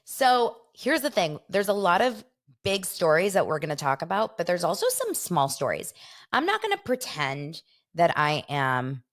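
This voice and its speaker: A woman's voice: lowish voice, sing-song, moderate pace. A woman in her forties with an American accent, hosting a casual solo gossip show in a chatty, jokey, informal way, talking straight to her listeners. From Los Angeles, United States. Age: 40s. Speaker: podcast host.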